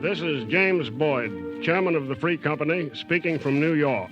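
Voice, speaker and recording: An American man giving a formal, measured scripted introduction on 1940s radio. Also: a little high, loud music behind the voice. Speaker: radio program chairman and presenter. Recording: muffled 1940s radio broadcast.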